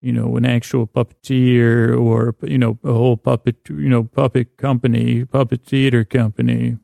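Very creaky male podcast host, rambling. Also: mid-range voice, little pitch movement, dark tone, dry recording, clean studio sound. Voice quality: creaky, gravelly. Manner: meandering, halting, stammering and repeating himself; lulling, soothing. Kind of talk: rambling bedtime sleep-podcast monologue.

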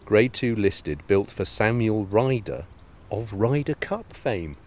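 Deep-voiced wry man co-hosting. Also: big pitch swings, takes it slowly, a little mellow. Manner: wry and teasing, addresses listeners. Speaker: podcast co-host. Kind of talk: casual chat.

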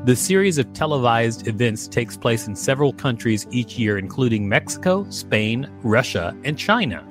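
A man's voice: medium pitch, lively intonation, moderate pace, soft background music. A man co-hosting a podcast, reading reference text aloud with casual remarks thrown in. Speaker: podcast co-host. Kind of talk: reading an encyclopedia article aloud with casual asides.

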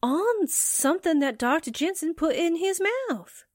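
A woman performs an eager girl-reporter sidekick from a 1940s radio mystery. The voice is high and bounces around a lot, excitable and slangy, in a dry recording. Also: a little slow, dry recording. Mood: puzzled. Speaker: actor in an amateur radio drama re-creation.

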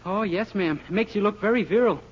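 A man plays a guileless, polite young clerk in an old radio sitcom. His voice is high for a man, eager and deferential with gee-whiz innocence. The sound is muffled under heavy noise. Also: quick pace, close to an everyday voice. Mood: meek, sheepish. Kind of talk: 1940s radio sitcom acting. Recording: muffled, very noisy 1940s radio recording.

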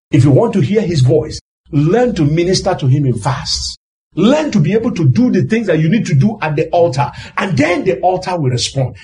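A man's voice: sits high, a little mellow. A man preaching a sermon in an emphatic, exhorting way, often raising his voice and repeating phrases for rhetorical effect.

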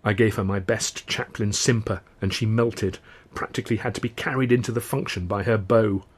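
A man's voice: fairly low pitch, steady tone, moderate pace, breathy edge. A man reading his own novel as a wry first-person audiobook narration.

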